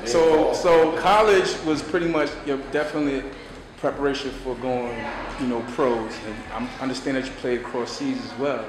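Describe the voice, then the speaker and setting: A man's voice: mid-range voice, steady tone, normal speed, recorded from a distance, a crowd in the background. A man hosting a casual basketball interview, speaking in informal street slang. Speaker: interviewer, show host. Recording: echoey room from afar, background noise.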